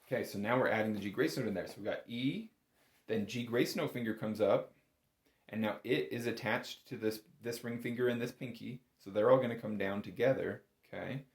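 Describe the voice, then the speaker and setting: A man's voice: lowish voice, fairly quick. A man giving an informal, step-by-step music lesson in a patient, encouraging manner. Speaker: bagpipe instructor. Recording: roomy, echoey indoor sound.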